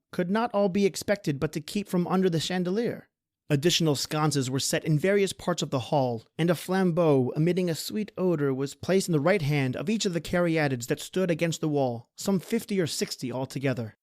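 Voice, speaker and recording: Measured man narrating, slightly high voice. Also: a little mellow, no echo, clean studio sound. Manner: measured, formal storytelling. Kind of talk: scripted gothic horror story narration.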